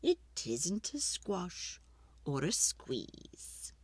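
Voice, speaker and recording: A woman reading a children's story aloud in a theatrical, expressive and deliberate way. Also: lowish voice, breathy edge, no room sound.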